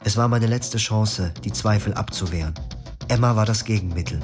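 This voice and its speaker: Radio play: actor as a brooding young husband narrating, low voice, clear music bed underneath. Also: male voice, moderate pace, natural voice. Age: 20s. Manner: calm, reflective, poetic narration.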